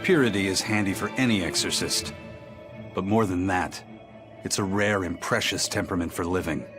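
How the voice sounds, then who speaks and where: A man playing a measured, philosophical, worldly-wise warrior in a video game. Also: low voice, a bit bright, faint music behind. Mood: reflective. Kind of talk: English-dubbed video game acting.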